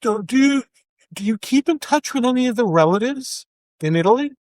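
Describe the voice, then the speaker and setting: A man's voice: very high voice, animated, brisk, darkish tone, no room sound. A man hosting a conversational interview, putting questions to his guest. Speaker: podcast host, interviewer.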